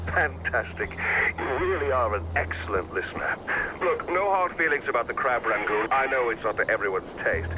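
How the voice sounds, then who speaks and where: A man performs a sadistic tyrant for a video game. He is theatrical and falsely polite, his mid-range voice swinging up and down over clearly noisy ambience. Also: mid-range voice, natural voice. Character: flamboyant, sadistic tyrant villain, breezily menacing. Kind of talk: video game villain acting.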